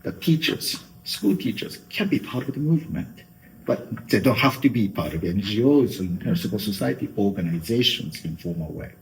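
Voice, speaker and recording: Soft-voiced middle-aged Japanese man. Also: on the low side, lively intonation, normal speed, a little hiss or hum, rough recording. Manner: thoughtful, with many fillers like "you know". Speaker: guest. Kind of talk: interview answers.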